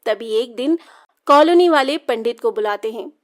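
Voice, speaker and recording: A woman's voice: fairly high pitch, animated, normal speed. A woman storyteller reading scripted narration for a children's story.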